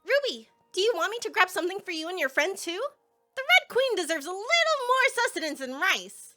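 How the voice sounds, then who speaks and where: Hyper warrior girl, super animated and bright, dramatic outbursts, fandub cartoon style. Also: extremely high pitch, a little fast, faint music behind, full character voice. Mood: friendly, reassuring. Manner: exuberant, dramatic, swinging into loud outbursts. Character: excitable, boisterous young warrior woman who loves hunting.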